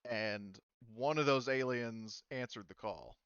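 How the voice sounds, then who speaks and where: A man with a smooth, resonant voice rambles through lore as game master, full of "like" and "um." His voice comes through dry and a little dull, as on an online call. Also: medium pitch, slow, a bit bright, dry recording. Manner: rambling, filler-heavy explaining. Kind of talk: casual out-of-character explanation.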